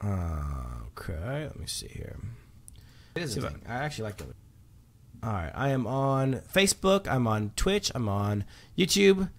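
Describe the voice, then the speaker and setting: A man's voice: on the low side, very animated, normal speed, slight background noise. A man hosting a livestream, chatting casually while reading patch notes, with lots of um fillers and restarts. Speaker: livestream host.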